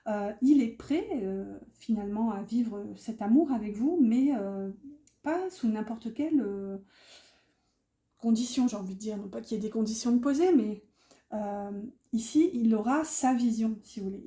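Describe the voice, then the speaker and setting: A woman with a very soft, dark-toned voice that sits a little high. She talks as a tarot reader guiding her viewers, improvising and feeling for her words, in a room with clear echo. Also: moderate pace. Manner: hesitant, searching for words, chatty asides. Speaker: tarot reader hosting an online guidance. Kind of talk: casual, improvised tarot reading addressed to viewers. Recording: roomy, echoey sound.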